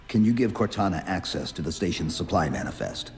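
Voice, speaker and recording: An actor playing a stoic, terse armored supersoldier in a video game cutscene, grim and commanding. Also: male voice, low-pitched, lively intonation, a little fast. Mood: grim, steady. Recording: long reverb, music behind.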